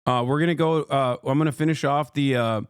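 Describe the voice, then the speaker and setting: Male live streamer, mid-pitched and smooth, sardonic rhetorical delivery, casual political commentary. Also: fairly even intonation, a little fast, dry recording, clean studio sound.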